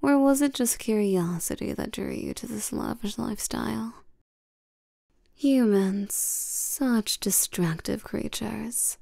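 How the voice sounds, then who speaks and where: A woman playing a seductive, commanding vampire queen in an intimate roleplay audio, soft and breathy, teasing and condescending, often sinking toward a whisper. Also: fairly high pitch, no echo, clean studio sound, full character voice. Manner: slow, teasing, domineering, with terms of endearment.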